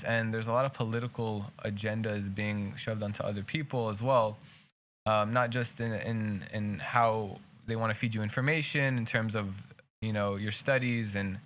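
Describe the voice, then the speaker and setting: A man's voice: fairly low pitch, steady tone, normal speed, fairly bright tone, a little hiss or hum. A young man with a Hebrew accent, a native Hebrew speaker, co-hosting a casual podcast and talking earnestly in long, rambling stretches full of restarts. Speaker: podcast co-host.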